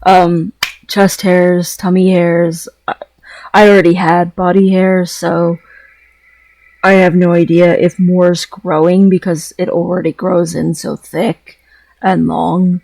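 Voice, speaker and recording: A man's voice: sits high, level tone, takes it slowly, vocal fry, darkish tone, no room sound. A man talking in a casual, rambling vlog style, hesitant, often repeating phrases and trailing off mid-thought. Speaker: vlogger.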